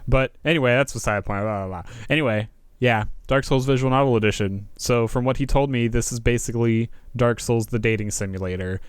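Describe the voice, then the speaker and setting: A man's voice: medium pitch, fairly quick, clear, resonant tone, no echo. A man hosting a gaming video, reading narration aloud and commenting in a casual, jokey, informal way.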